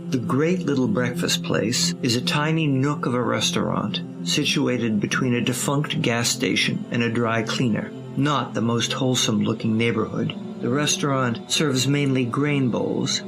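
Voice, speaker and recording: Gravelly male narrator over music. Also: mid-range voice, measured pace. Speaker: narrator of audio drama. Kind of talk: scripted present-tense story narration.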